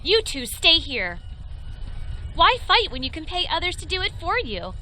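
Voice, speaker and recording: A bright, high-pitched young thief. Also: female voice, very animated, normal speed, slight background noise, heavily acted. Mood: bossy. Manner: bossy, flippant. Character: cocky, greedy young female thief leader. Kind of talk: video game character acting.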